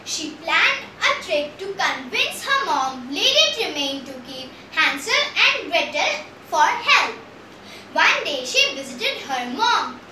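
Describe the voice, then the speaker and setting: Very high, bright childlike storyteller in echoey room. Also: takes it slowly. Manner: theatrical, animated delivery. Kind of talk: expressive fairy tale storytelling. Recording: echoey room, distant mic, faint noise.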